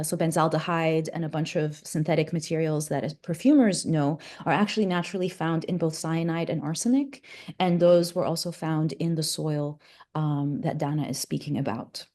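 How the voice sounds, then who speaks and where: Woman artist presenting her slides, warm mellow tone, kinda halting, keeps correcting herself. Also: lowish voice. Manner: hesitant, many fillers and self-corrections. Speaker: perfumer and interdisciplinary artist, presenter.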